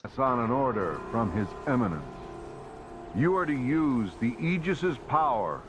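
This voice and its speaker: An actor playing a stern, haughty holy official in a video game, speaking in a formal, commanding way. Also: male voice, mid-range voice, very animated, a little slow. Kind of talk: dramatic video game voice acting. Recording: game audio with music behind.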